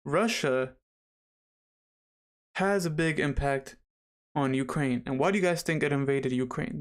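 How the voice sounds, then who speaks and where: A young man hosting a casual livestream, slightly raspy after dental surgery, chatty and rambling as he talks straight to viewers. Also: mid-range voice. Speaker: livestream host.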